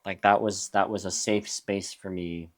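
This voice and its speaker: Young adult male guest, very low voice, reflective and halting. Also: flat delivery. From USA (New Mexico). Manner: reflective, halting, frequent restarts. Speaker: guest musician. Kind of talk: casual interview chat.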